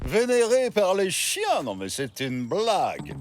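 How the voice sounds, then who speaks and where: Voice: mid-range voice, wildly swooping pitch, slow pace. Wildly swooping, scoffing cartoon animal. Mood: scornful. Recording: music behind the voice.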